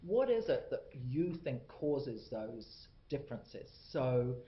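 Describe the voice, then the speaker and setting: A man's voice: mid-range voice, very animated. Echoey New man moderating. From New Zealand. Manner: probing, brisk questions, quick agreement. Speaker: panel moderator. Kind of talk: panel discussion. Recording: echoey muffled room recording.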